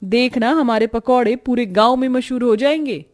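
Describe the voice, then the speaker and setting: A woman's voice: fairly high pitch, moderate pace, soft background music, lightly acted. A woman voicing a hopeful, persuasive young sister-in-law in a cartoon story, earnest and upbeat. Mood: eager, persuasive. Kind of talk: cartoon story character dialogue.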